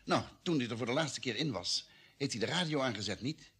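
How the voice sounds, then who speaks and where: A man's voice: mid-range voice, expressive, fairly quick, a bit bright, breathy edge. An actor playing a practical spaceship crewman who reasons and questions in a vintage radio drama. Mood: speculating. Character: practical male spaceship crewman working out theories.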